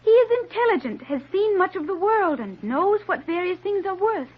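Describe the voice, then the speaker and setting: A woman's voice: very high-pitched, animated, normal speed. An actress playing an earnest, solemn girl with formal, precise diction in an old-time radio serial. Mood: solemn, worried. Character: earnest, solemn girl raised apart from the world. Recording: muffled vintage radio recording.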